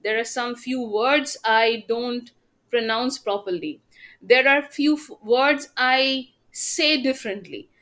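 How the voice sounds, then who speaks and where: Indian woman, native Bengali speaker, higher-pitched voice, Bengali accent, conversational storytelling. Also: takes it slowly, fairly bright tone. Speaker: podcast guest, economics teacher. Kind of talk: casual conversation, personal storytelling.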